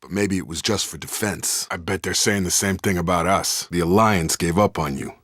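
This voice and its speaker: An actor playing a loyal, earnest young space soldier in a video game, with a straight-talking military manner. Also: male voice, sits low, moderate pace, fairly bright tone, dry recording, clean studio sound. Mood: steady, serious. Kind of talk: video game character dialogue.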